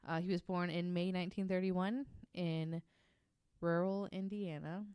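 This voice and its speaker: Female podcast storyteller, deep and clean-toned, informal and wry, reading from notes with loose banter. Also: fairly even intonation, measured pace, darkish tone, clean tone, dry recording, clean studio sound. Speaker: podcast host.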